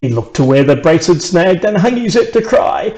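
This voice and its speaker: Aussie guy reading a kids poem out loud, slightly high voice, tells it like a yarn with expression. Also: lively intonation, normal speed. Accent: Australian. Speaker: author reading own children's book. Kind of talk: rhymed storybook narration.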